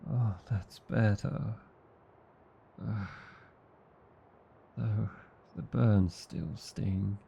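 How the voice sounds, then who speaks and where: Man voicing a deep, gravelly Australian vampire husband. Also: fairly even intonation, a little hiss or hum, heavily acted. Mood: relieved, sore. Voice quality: low, dark, gravelly. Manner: slow, tender, soothing. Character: gentle, reassuring vampire husband. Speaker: voice actor. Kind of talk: intimate ASMR roleplay.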